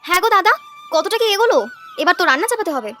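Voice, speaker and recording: A woman playing a practical, caring young village wife in a cartoon, chatting in a brisk, homely way. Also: extremely high pitch, expressive, pushed and bright, soft background music, exaggerated voice. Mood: busy, friendly. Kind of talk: cartoon voice acting.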